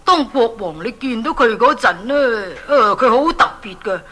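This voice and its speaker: A woman playing a mysterious, all-knowing prophet in a children's radio drama, deferential and ceremonious, with hesitant, drawn-out phrasing. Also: a little high, lively intonation, fairly bright tone. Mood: reassuring, cryptic.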